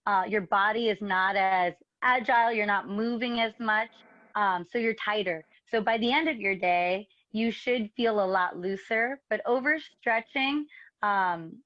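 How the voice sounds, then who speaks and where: A woman's voice: mid-range voice, no room sound. A woman, a dance and fitness instructor, giving practical movement advice over a video call in an encouraging way. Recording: dry, slightly dull sound.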